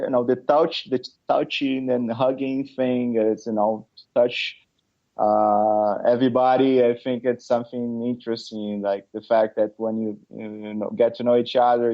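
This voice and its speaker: A middle-aged man from Brazil with a Brazilian accent, a podcast guest talking casually, halting with many fillers and restarts. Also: medium pitch, warm tone, dull audio. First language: Portuguese. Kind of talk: casual interview chat.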